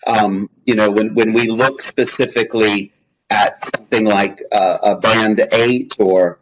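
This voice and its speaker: A man's voice: lowish voice, fairly even intonation, measured pace, rough recording. A man speaking as a guest in a webinar, answering questions in a chatty, jokey way with many restarts. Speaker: guest speaker on language testing.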